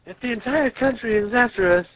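A man's voice: extremely high pitch, fairly quick, a bit bright, no room sound, pitched above the performer's own voice. A man voicing an earnest young princess from a comic, speaking in a light, declamatory way. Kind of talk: amateur comic read-aloud. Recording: dull lo-fi voice recording.

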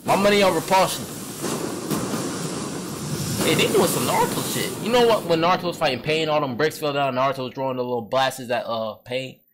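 A man's voice: fairly high pitch, a little fast, very noisy. A young American man giving a casual, slangy, exclamatory reaction commentary as a video host. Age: young adult. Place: small indoor room. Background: loud music from the video being watched.

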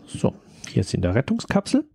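Male tutorial presenter: mellow, creaky voice, casual, hesitant thinking-aloud delivery, dry close sound. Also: mid-range voice, wildly swooping pitch, fairly quick, dry recording. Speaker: tutorial presenter, audio drama editor. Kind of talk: casual screen-recorded tutorial commentary.

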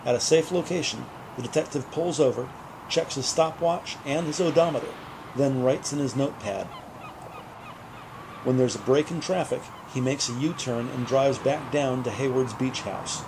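A man's voice: medium pitch, moderate pace, gravelly. Male narrator, scripted detective drama storytelling. Mid-range voice over noticeable background noise. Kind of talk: scripted audio drama narration in present tense. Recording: vehicle and ambient noise behind.

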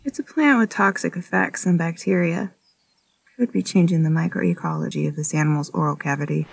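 Woman as a creaky-voiced, curious scientist. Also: low-pitched, expressive, a little fast, exaggerated voice, rough recording. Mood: curious, focused. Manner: inquisitive, analytical. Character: curious, focused female doctor examining sick animal. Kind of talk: audio drama acting.

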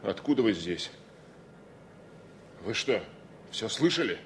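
An actor playing a proud, indignant engineer and jealous lover in a Soviet radio drama. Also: male voice, on the low side, sing-song, slow, exaggerated voice. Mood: stunned. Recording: noisy old radio play recording.

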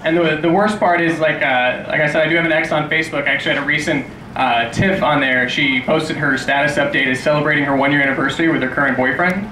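A man's voice: a little high, fairly even intonation, fast talker, few pauses. A man in his twenties doing a sarcastic, profane stand-up routine at an open mic, ranting at his own expense. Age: 20s. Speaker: stand-up comedian. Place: small dim club stage, indoors. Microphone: handheld stage microphone. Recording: echoey room with clear background noise.

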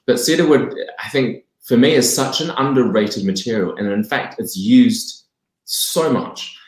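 Eager New Zealand man, roomy sound. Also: on the low side. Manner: enthusiastic, quick, laughs often. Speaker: fragrance brand co-founder, guest. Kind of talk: casual interview over video call. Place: home room, indoors. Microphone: video call webcam mic. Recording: roomy echoey home room.

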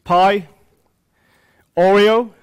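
A man's voice: high-pitched, steady tone, extremely slow, smooth. A male conference speaker, a native Cantonese speaker from Hong Kong, talks to a room full of developers. His delivery is loose and conversational, dotted with "um" and "uh" as he explains his slides. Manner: conversational, many "um" and "uh" fillers. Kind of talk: live tech talk.

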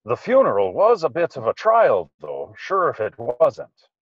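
A sympathetic gentleman in a period radio mystery, played by a middle-aged man. His voice is husky and swings widely in pitch. The sound is muffled and lo-fi, like a video call. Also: huge pitch swings, a little slow. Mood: gentle, concerned. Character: kindly, sympathetic Irish male friend. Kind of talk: period radio drama acting. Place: inside a parked car. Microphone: video call device. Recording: dull lo-fi video call audio.

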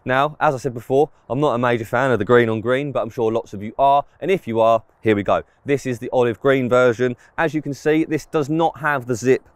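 A man's voice: medium pitch, brisk, few pauses, clean tone, no echo. A man, giving a casual, plain-spoken product review to camera, outdoors on a close mic. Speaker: presenter of fishing clothing reviews. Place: outdoors in an open grass field.

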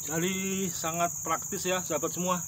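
A man's voice: high voice, flat delivery, normal speed, background noise. A middle-aged man, chatting enthusiastically as a vlogger while cooking at an outdoor table, repeating his words of praise. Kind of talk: casual outdoor cooking vlog. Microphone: camera mic at close range.

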